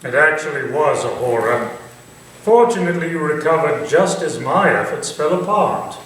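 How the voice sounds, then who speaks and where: A man playing a manipulative, commanding Victorian gentleman villain in a staged thriller, speaking in formal, theatrical phrases. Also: fairly high pitch, lively intonation. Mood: cool, imperious. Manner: formal, deliberate, theatrical delivery. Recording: distant, echoey stage recording with faint noise.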